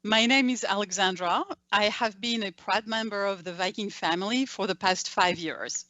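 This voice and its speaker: A woman's voice: medium pitch, moderate pace, a bit bright, no room sound, lo-fi sound. A woman from France with a French accent giving a courteous, scripted travel presentation. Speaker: company presenter.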